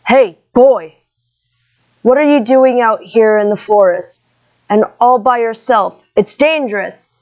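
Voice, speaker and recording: A woman's voice: fairly high pitch, slow, a little mellow, highs cut off. Woman as a concerned forest hunter.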